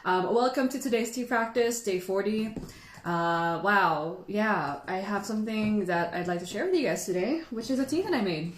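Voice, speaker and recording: The recording is noticeably echoey, with the room audible around her voice. A woman of average pitch hosts a casual livestream. She talks informally and sentimentally, in a chatty, giggly manner. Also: lively intonation, normal speed. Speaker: livestream host and tea teacher.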